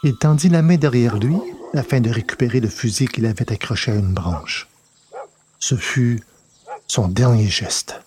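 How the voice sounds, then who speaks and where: A man narrating a scripted dramatic story in a measured, literary delivery. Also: mid-range voice, darkish tone. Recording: noticeable background noise.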